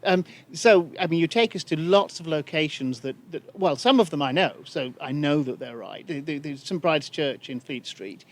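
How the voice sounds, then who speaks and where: A man's voice: on the high side, lively intonation, moderate pace, few pauses. A man hosting a podcast interview, hesitant and full of fillers and repeated words. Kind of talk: casual interview conversation.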